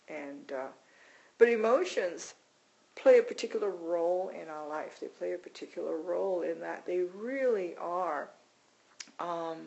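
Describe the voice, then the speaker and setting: A meditation teacher from Canada giving a mindfulness retreat talk, halting and self-deprecating, with frequent restarts. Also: medium pitch, vocal fry, mellow, rough recording.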